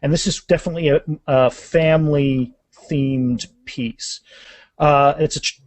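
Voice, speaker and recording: A man's voice: fairly high pitch, fairly even intonation, unhurried, no room sound. A man talking casually as an author in a podcast interview, often restarting and repeating words. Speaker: author being interviewed about their fantasy series.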